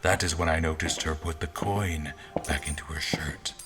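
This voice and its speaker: A man playing a guarded royal officer in disguise, recounting his memories in formal, deliberate audio drama narration. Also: extremely low pitch, fairly even intonation. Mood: wary, wry. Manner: formal, literary, deliberate phrasing. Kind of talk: scripted first-person audio drama narration. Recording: music behind the voice.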